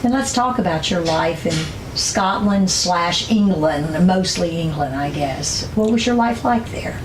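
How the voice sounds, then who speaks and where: A woman's voice: lowish voice, lively intonation, a little slow, roomy sound, noisy background. Polite woman interviewer. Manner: polite, scripted introduction. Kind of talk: oral history interview. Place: interviewee's home.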